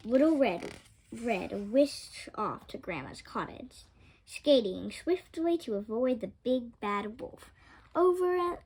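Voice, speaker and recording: A woman reading a children's picture book aloud with expressive, dramatic storytelling. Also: a little high, slow pace, husky, poor audio. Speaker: storytime reader.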